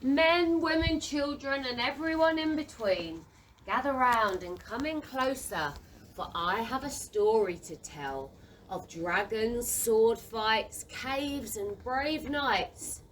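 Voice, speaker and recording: Animated woman storyteller, slightly distant. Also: on the high side, takes it slowly. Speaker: storyteller, narrator. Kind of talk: theatrical scripted children's storytelling. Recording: distant mic, faint room noise.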